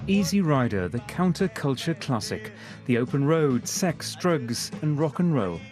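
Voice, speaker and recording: Male reporter, fairly high pitch, scripted obituary-style narration, faint music underneath. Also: big pitch swings, unhurried. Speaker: news correspondent.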